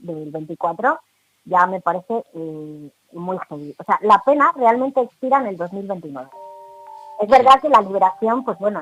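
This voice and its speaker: A woman's voice: medium pitch, a bit bright, no room sound, poor audio. A woman with a Spain accent giving opinions in a casual radio panel chat.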